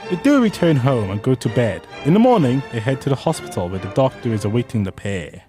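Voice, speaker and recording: A man's voice: mid-range voice, sing-song, brisk, over music. A man narrating a comedic scripted radio drama.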